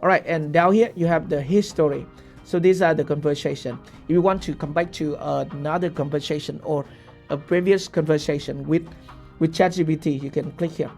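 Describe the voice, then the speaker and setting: A young man whose first language is Vietnamese, giving a step-by-step screen-share software tutorial and checking in with frequent "okay?" asides. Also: fairly high pitch, steady tone, a little fast, gravelly, faint music behind. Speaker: tutorial host.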